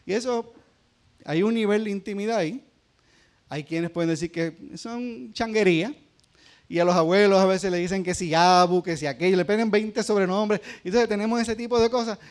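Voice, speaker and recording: A man's voice: high voice, moderate pace. A man with a Puerto Rican accent preaching a sermon as pastor, talking to the congregation in a conversational way and asking them questions. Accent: Puerto Rican Spanish. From Puerto Rico.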